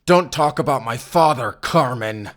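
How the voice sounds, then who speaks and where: A man playing a brooding, hot-tempered Spanish vampire slayer in an audio drama, gruff and curt. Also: medium pitch, animated, a little slow, fairly bright tone. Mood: furious. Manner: gruff, curt, easily angered. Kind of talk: cinematic audio roleplay acting.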